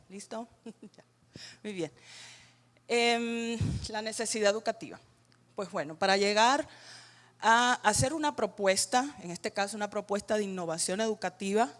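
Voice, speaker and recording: A woman from Cuba with a Cuban Spanish accent, leading a teacher training workshop and checking in with her audience as she goes. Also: mid-range voice. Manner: guiding, checks in with "¿verdad?" and "ujú".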